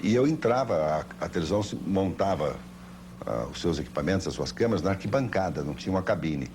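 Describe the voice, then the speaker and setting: An older Brazilian man with a very deep voice reminisces like a seasoned sports broadcaster. He talks quickly and tells his anecdotes with emphasis. The sound is an old television tape with clear background noise. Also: expressive. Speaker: veteran sports broadcaster giving testimony. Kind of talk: anecdotal interview recollection.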